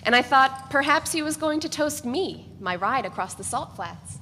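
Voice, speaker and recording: A woman's voice: high voice, expressive, moderate pace, bright, forceful tone. A woman giving a dramatic reading of a novel as a first-person narrator. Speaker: reader of a novel. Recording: echoey hall, faint background noise.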